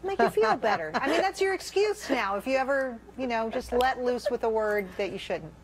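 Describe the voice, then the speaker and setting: A woman's voice: on the high side, expressive, brisk, a little hiss or hum. American woman hosting a news panel. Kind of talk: conversational broadcast interviewing.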